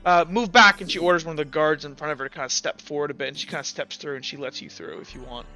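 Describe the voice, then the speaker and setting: Bright-voiced male game master, improvising. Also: on the high side, racing. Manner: rambling, piecemeal descriptions. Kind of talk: improvised tabletop game narration. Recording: dull lo-fi sound, faint music behind.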